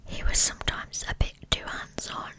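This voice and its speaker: A woman narrating a scripted true crime story as ASMR in a breathy, unvoiced whisper, with soft, measured phrasing.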